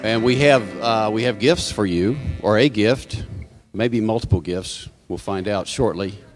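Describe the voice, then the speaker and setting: A man's voice: fairly low pitch, unhurried, faint music behind. A man giving informal church announcements to a congregation, with folksy joking asides. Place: church sanctuary, live service. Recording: hall reverb from sound system.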